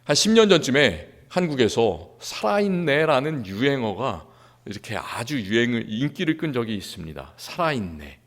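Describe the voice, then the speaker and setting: A male pastor from Seoul preaching a sermon in a church, earnest, rising to emphasis and dropping quiet again. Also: medium pitch, sing-song, moderate pace. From Seoul, South Korea. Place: church sanctuary.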